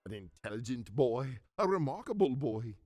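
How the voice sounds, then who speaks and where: A man playing a reformed old miser in a radio drama, giddy with joy and stammering as he excitedly repeats himself. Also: medium pitch, moderate pace, dry recording. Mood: exultant, eager. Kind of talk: theatrical radio drama acting.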